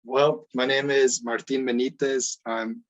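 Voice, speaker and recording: A man's voice: medium pitch, flat delivery, unhurried. A man from Ecuador who grew up in the United States, giving casual answers as a guest on a football podcast. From born in Ecuador, grew up in the United States. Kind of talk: casual interview talk.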